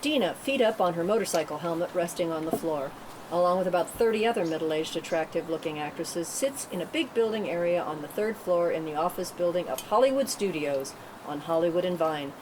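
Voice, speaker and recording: A woman's voice: fairly low pitch, brisk. A woman reading scripted stage directions as narrator at a table read, in a noisy room.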